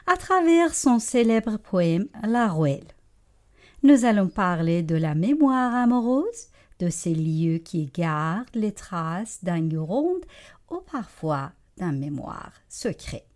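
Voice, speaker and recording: A woman hosting a live radio poetry show, reading a poetic introduction in a slow, expressive way. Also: medium pitch. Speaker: radio host. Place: radio studio.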